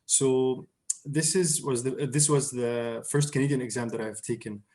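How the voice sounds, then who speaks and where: A man's voice: mid-range voice, steady tone, normal speed, a little mellow. A young man from Syria, a surgery resident, answering interview questions in a hesitant, casual way, with many fillers and restarts.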